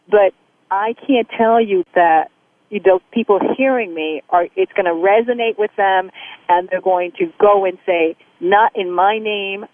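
Impassioned woman on a phone line. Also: mid-range voice. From born in Haiti, raised in the United States. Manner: impassioned, with many halting restarts. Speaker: guest, aid worker. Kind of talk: radio discussion.

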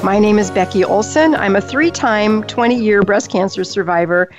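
A woman's voice: medium pitch, normal speed, highs cut off, soft background music. An older woman hosting a talk radio show, upbeat and chatty. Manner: upbeat, chatty, laughs often.

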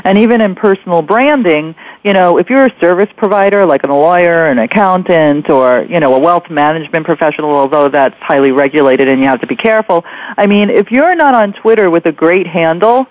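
A woman's voice: lowish voice, a little fast, no echo. Female talk radio host, conversational, emphatic, speaks straight to the listener, close dry sound, a touch muffled. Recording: slightly dull, lo-fi radio sound.

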